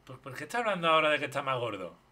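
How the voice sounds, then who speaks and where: A man's voice: medium pitch, very animated, racing, pushed and bright. A man in his thirties with a Spanish accent from Spain, commenting bluntly and mockingly on a video during a live stream. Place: home streaming room.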